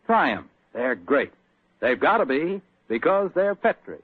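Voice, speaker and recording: A man with an American accent, a radio announcer pitching and narrating in a folksy, conversational 1940s style. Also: very animated, a little slow, loud music behind the voice. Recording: dry, muffled 1940s radio recording.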